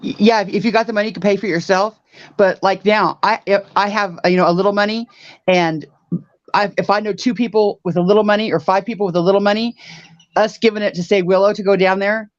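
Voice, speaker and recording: A man in his fifties with a high voice hosts a casual chat, his words quick and halting. The sound is dry and muffled. He keeps repeating himself as he works toward his point. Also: fairly even intonation, no room sound. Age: 50s.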